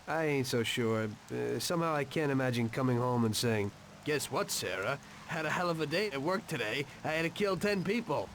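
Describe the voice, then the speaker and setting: A guarded 1930s American mobster in a crime game. He is mid-pitched, plain-spoken and skeptical, with an uneasy edge, over slight background noise. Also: expressive, normal speed, a bit bright. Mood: troubled. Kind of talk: dramatic video game voice acting.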